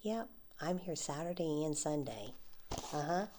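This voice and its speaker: Low, slow-talking soft woman vendor. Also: animated, background noise. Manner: soft-spoken, drifting into whispers, light frequent laughs. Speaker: ASMR creator playing a flea market vendor. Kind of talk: ASMR roleplay.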